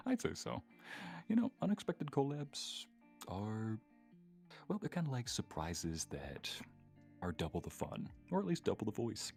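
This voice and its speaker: A man's voice: mid-range voice, big pitch swings, measured pace, gravelly, pauses often, a little mellow. A man talking casually and playfully on a live stream, in a chatty way. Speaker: voice actor and guest creator. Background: faint background music with guitar.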